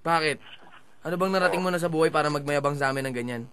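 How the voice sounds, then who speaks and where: Menacing Filipino rapper acted by a man, fairly high-pitched and quick, taunting and insulting on a call. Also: fairly bright tone, lightly acted. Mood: taunting, aggressive. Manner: taunting, confrontational, swearing. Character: menacing, insulting male rapper making threats. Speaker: prank caller. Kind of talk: confrontational phone call.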